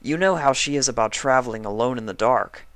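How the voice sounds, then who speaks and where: Young male dragon , played by a man: storybook character, eager helper, excitable, raised pitch. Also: brisk, no room sound, natural voice. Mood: reassuring. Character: eager eighteen-year-old baby dragon, helpful and cheerful.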